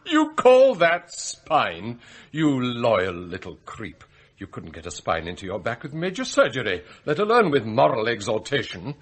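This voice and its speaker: An actor playing a proud, bitter, plotting butler in a radio drama, with an English accent and formal, theatrical phrasing. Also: male voice, medium pitch, huge pitch swings, measured pace, slightly rough, full character voice. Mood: scornful. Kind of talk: radio drama acting, monologue and dialogue.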